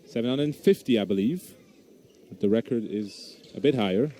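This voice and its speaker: A man's voice: mid-range voice, moderate pace, dark tone, slight background noise. A man with a Swiss German accent, a non-native English speaker, giving live basketball play-by-play with frequent hesitations and restarts. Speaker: basketball commentator.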